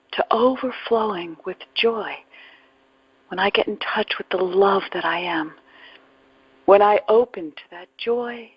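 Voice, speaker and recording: A woman guides a spiritual meditation at a very slow pace, leaving long pauses between phrases. Her voice is breathy and soft-edged, sometimes sinking toward a whisper. The recording is dry and close, but dull and muffled. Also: mid-range voice, no echo. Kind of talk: guided meditation, reflective spiritual talk with readings.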